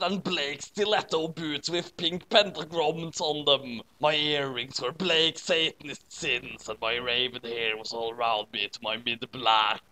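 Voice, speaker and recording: A man's voice: medium pitch, sharp tone, slight background noise, rough recording. A man narrating fan fiction as a comedic dramatic reading, with a mock-serious manner. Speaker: story narrator.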